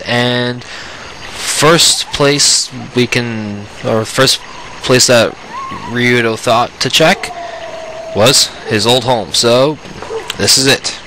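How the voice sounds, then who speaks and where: A man's voice: mid-range voice, sharp tone, a little hiss or hum, low-quality recording. A man giving casual let's play commentary, rambling and often restarting his phrases, with game music playing behind him.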